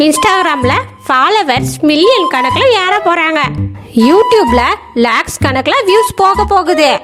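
An excitable, vain granny bird put on by a woman for a children's cartoon. She gushes dramatically in a high voice, with music playing clearly behind her. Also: slow pace. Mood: excited, boastful. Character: excitable vain old grandmother bird obsessed with selfies.

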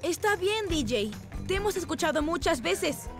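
Eager, very high-pitched little boy motorbike. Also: big pitch swings, normal speed, gravelly, music in the background, exaggerated voice. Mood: upbeat, encouraging. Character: eager young boy rescue motorbike, bold and cheerful. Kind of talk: children's cartoon voice acting.

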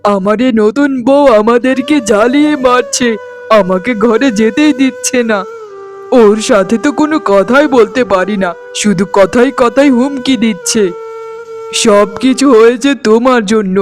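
Man playing a high-voiced, henpecked village husband. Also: moderate pace. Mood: regretful, complaining. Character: lovestruck, henpecked, regretful village husband and son. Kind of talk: animated cartoon dialogue. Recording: music behind the voice.

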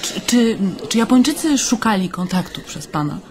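A woman's voice: on the high side, big pitch swings, moderate pace, slight background noise. An actress playing a polite, attentive Polish woman who conducts a thoughtful interview in a radio play. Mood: curious, polite.

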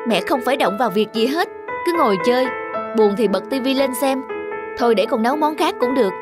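Woman as high-voiced, brisk, fussing mother. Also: lively intonation, lightly acted. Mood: exasperated. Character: busy, impatient adult daughter and mother. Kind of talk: animated family drama acting. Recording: music under the voice.

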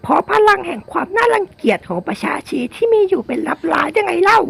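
A woman's voice: very high-pitched, big pitch swings, quick pace, soft background music, voice pushed up, heavily acted. A woman voicing a disgusted citizen heckling in a game skit with screechy insults. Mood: defiant. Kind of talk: improvised game role-play skit. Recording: clear background noise.

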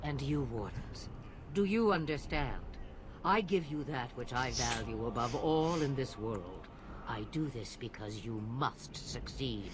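A woman's voice: extremely low pitch, lively intonation, unhurried, a crowd in the background. A woman voicing an imperious, sardonic old witch mother in a fantasy game, dry and commanding. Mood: grave, urgent. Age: older. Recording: game audio over noisy outdoor ambience.

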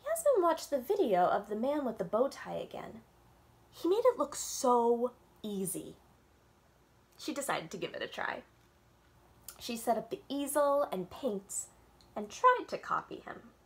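A woman in her thirties reads a children's book aloud to a webcam in a room with bookshelves. She is animated, with wide pitch swings and a slow, deliberate pace. Her voice has a slight gravelly fry. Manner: expressive, animated reading. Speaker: children's librarian. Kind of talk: storybook read-aloud. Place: home room with bookshelves, indoors. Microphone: webcam at desk distance.

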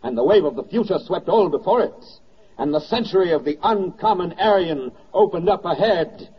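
An actor playing a grave, bitterly ironic wartime narrator in a 1940s radio drama, speaking in measured, declamatory phrases. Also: male voice, high voice, expressive. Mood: sardonic. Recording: dull vintage 1940s radio recording, music behind. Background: music.

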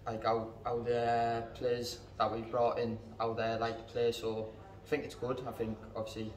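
A young man answers as team captain after a match, matter-of-fact and leaning on fillers. His voice stays almost completely level in pitch. The room is echoey and the mic sits well away from him. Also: completely flat delivery, normal speed, distant mic, a little hiss or hum. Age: young adult. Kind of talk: post-match interview. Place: indoors in front of a club sponsor backdrop.

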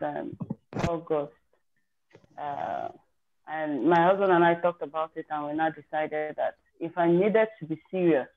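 A woman speaking over a video call, her voice thin and phone-like. She tells her story in a candid, chatty way, circling back to fix her wording as she goes. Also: fairly low pitch. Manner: chatty and candid, with self-corrections. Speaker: participant sharing her exam success story.

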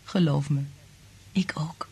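An actress playing a troubled, tender woman in love in a radio drama, with a breathy voice. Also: deep voice, steady tone, takes it slowly, darkish tone, exaggerated voice. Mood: afraid, intimate.

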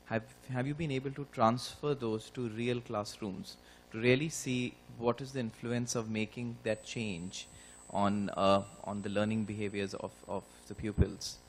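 A fairly low-voiced man speaks up from the floor of a conference hall with a measured, well-framed question. His delivery is articulate and calm, with a faint hum underneath. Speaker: academic asking a question. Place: large lecture hall.

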